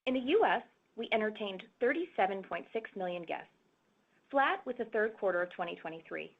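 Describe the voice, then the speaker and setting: Phone-line businesswoman with creaky voice. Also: mid-range voice, animated. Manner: formal, measured, businesslike reading. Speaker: corporate finance executive presenting on an earnings call. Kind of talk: scripted financial presentation. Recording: phone-like, narrow-band conference call audio.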